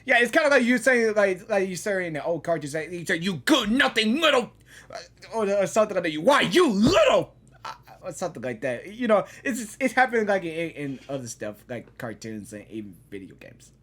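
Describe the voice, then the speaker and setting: A young adult gamer giving casual, excitable reaction commentary, rambling and repeating phrases. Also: medium pitch, fairly quick. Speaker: gaming reaction video creator. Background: game music and dialogue.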